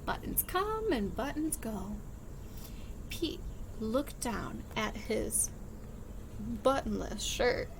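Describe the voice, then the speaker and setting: A woman reading a picture book aloud to young children as a teacher, expressive and sing-song, pausing to explain in simple words. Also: on the high side, creaky, background noise. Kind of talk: animated children's read-aloud with singing and counting.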